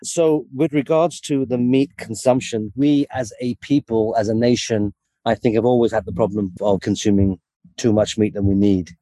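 A man's voice: lowish voice, fairly even intonation, moderate pace, dark tone, no room sound. A man born in the UK, a guest in an interview, speaking in an earnest, opinionated way. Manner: earnest, opinionated, opens with Arabic invocations. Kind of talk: interview answers.

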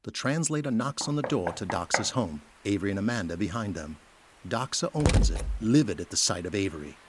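A man's voice: lowish voice, expressive, a little fast, noisy background. A man reading scripted narration for an audio drama.

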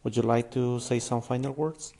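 Male podcast interviewer, mid pitch, raspy fry, polite formal wording. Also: fairly even intonation, moderate pace, dark tone. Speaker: podcast host. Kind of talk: interview questions.

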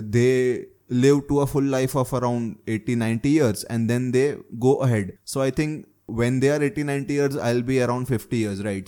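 A man's voice: mid-range voice, dark tone. A young man hosting a casual discussion, posing questions and checking in with his listener as he talks. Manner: probing, keeps adding "right?" checks. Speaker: host asking questions.

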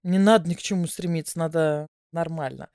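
A woman co-hosting a podcast, talking in a lively, chatty way and laughing easily. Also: deep voice, a little fast, no room sound, clean studio sound. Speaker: podcast co-host. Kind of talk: casual chat.

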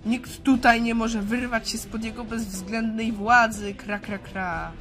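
A woman's voice: fairly high pitch, fairly even intonation, measured pace, fairly bright tone, soft background music. A woman voicing a menacing male crow-man monster who caws between phrases in a children's audio play. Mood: menacing, boastful. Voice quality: squawking, cawing. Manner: punctuates speech with crow caws.

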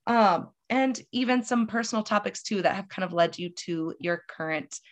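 A woman's voice: medium pitch, moderate pace. A woman co-hosting a podcast interview, chatty and rambling, laughing often between her questions. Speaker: podcast co-host. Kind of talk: casual conversational interview. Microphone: video call.

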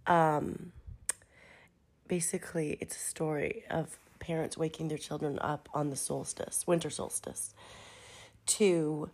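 Low-voiced woman in her thirties, stuffy with a cold, chatty voice-memo delivery. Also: fairly even intonation, unhurried, a little mellow. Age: 30s. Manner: chatty, self-deprecating, many fillers. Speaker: contributor sending a voice memo. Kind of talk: casual voice memo.

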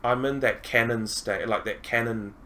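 A man's voice: medium pitch, normal speed, crowd noise. Hesitant man hosting a podcast. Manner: hesitant, many ums and restarts, animated when asking questions. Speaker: podcast host. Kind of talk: casual interview chat.

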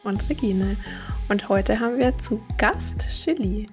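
A woman's voice: mid-range voice, a little slow, soft background music. A woman co-hosting a podcast, asking friendly, curious questions. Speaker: podcast co-host. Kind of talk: podcast interviewing.

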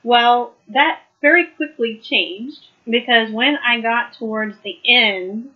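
A woman's voice: a little high, slow pace, dull audio. Chatty woman losing her voice. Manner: chatty, laughs often, warm southern "y'all" address. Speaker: host of a live Bible study. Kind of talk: casual live Bible study talk.

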